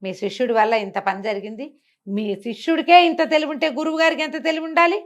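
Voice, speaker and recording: A woman's voice: sits high, fairly even intonation, fast, highs cut off, natural voice. Woman voicing a courteous, curious storybook king. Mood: welcoming, pleased. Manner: polite, deferential, inquiring.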